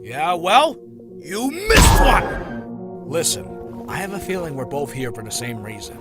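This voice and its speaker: A man playing a brash, friendly young warrior hero in an animated adventure, talking in a breezy, cheeky way. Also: a little high, measured pace. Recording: loud music behind the voice.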